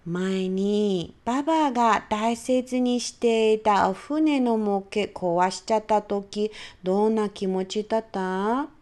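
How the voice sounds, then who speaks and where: A woman voicing a gentle young mother in a children's storybook, speaking softly and slowly. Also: medium pitch, lightly acted.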